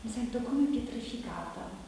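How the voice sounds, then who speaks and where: Actress playing a reflective woman, halting stage monologue, thinking aloud, cavernous reverb, noisy recording. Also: fairly high pitch, animated, mellow, natural voice. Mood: pensive, tender. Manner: halting, thinking aloud, repeats and breaks off phrases. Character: reflective actress pondering how to portray women awaiting death. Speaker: stage performer. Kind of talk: reflective theatrical monologue, spoken like spontaneous conversation. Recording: very echoey hall, distant, noisy.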